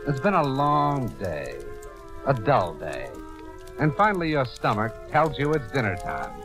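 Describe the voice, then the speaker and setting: A man's voice: mid-range voice, big pitch swings, measured pace. A man acting as a hard-boiled storyteller, narrating a vintage radio drama in the second person. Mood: measured, suspenseful. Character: hard-boiled storyteller addressing a police reporter. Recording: old radio recording with background music. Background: dramatic background music.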